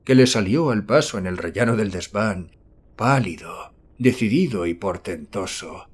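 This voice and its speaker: A man narrating a horror story as an audiobook, reading in a measured, literary storytelling manner. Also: fairly low pitch, husky. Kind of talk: scripted narration of a horror novel.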